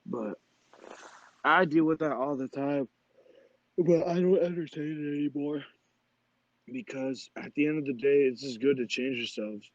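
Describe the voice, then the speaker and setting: A man's voice: fairly high pitch, a little slow, pauses often, no echo. A young man hosting a podcast and talking in a blunt, rambling, profane way. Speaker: podcast host. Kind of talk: casual podcast chat. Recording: dull dry lo-fi sound.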